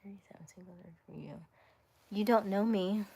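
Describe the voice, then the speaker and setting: A woman hosting a casual live stream, chatting playfully with viewers, laughing often and dropping to a soft whisper as she reads comments. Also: mid-range voice, steady tone, moderate pace, breathy edge. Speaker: livestream host.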